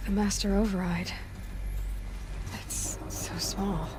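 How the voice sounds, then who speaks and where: An actress playing an earnest, determined young heroine in a video game, speaking in a measured, hushed way. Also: female voice, mid-range voice, steady tone, over music, lightly acted. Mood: solemn, hopeful. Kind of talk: dramatic video game acting.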